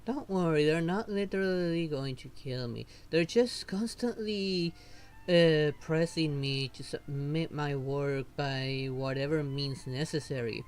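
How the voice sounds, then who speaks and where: Streamer reading weary novelist woman, slow and halting. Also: medium pitch, soft background music, natural voice. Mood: sheepish. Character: weary, earnest female light novelist in a fantasy game. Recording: noticeable background noise.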